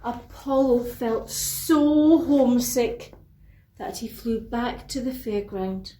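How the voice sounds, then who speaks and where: A woman tells a children's bedtime story in a fairly high voice. She goes very slowly and deliberately, with expressive phrasing and asides for young listeners. The room is noticeably echoey.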